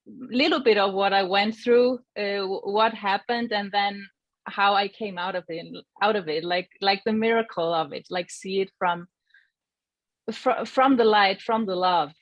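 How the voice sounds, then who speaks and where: A woman from Iceland, giving a gentle, reflective personal testimony as a guest and often searching for her words. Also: mid-range voice, unhurried, no room sound, lo-fi sound.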